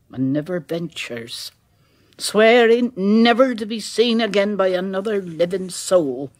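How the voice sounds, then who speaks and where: A woman's voice: sits low, darkish tone. An actress playing an uneasy older village woman in a radio drama, talking in rustic dialect and often dropping to a whisper. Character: older village woman, a nervous, superstitious local. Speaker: village neighbour.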